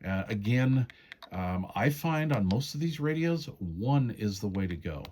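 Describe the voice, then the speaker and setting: Low-voiced man in casual product walkthrough, noisy. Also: animated, measured pace, darkish tone. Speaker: radio reviewer demonstrating menu settings.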